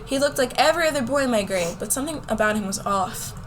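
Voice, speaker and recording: A woman plays a competitive high school girl telling her story in a radio play. Her voice sits a little high and her delivery is casual and wry. A faint noise runs behind her. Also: lively intonation, quick pace, natural voice. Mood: narrating. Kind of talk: scripted first-person radio drama narration.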